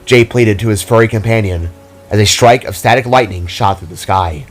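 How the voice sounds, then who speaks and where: A man narrates a scripted fantasy tale. His voice sits fairly low, and music plays behind the reading. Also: moderate pace. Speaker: narrator and writer.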